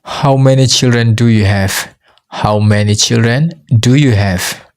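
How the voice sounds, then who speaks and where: A man's voice: mid-range voice, a little slow, clear, resonant tone. A man with a Mizo accent, a native Mizo speaker teaching English, who says each phrase twice for learners.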